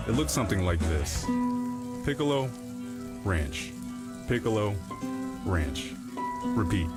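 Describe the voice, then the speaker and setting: A man's voice: lowish voice, sing-song, takes it slowly. A man reading a playful scripted radio advert as the announcer. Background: loud music.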